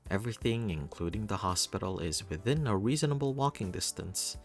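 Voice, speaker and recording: Male narrator, low register, expressive first-person story reading with theatrical flair, faint music underneath. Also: vocal fry. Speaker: reader of a visual novel.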